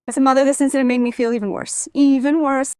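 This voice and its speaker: A woman's voice: high-pitched, fairly even intonation, fast, mellow, dry recording, studio quality. A woman, an interview guest telling personal stories and acting out quoted family dialogue as she goes. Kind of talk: personal storytelling.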